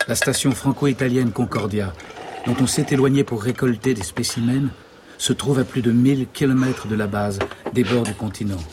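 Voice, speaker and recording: Sober man narrating over clear background noise acted. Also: medium pitch, normal speed, close to an everyday voice. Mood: sober, factual. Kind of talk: scripted documentary-like narration. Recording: clear ambient noise behind voice.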